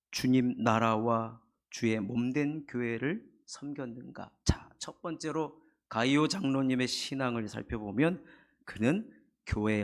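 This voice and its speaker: A middle-aged man preaching a Sunday sermon in a church, his voice hoarse and husky from a cold, building earnest emphasis. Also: medium pitch. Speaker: pastor. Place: church sanctuary.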